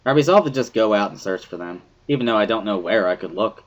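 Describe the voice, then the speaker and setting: Male narrator for visual novel: lowish, introspective, animated first-person storytelling. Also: fast talker. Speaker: dramatic reader of a visual novel.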